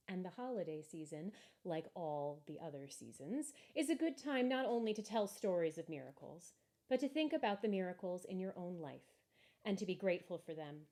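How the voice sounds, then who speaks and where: A woman narrating a children's story expressively, with measured, deliberate emphasis. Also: lowish voice, mellow. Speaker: story reader.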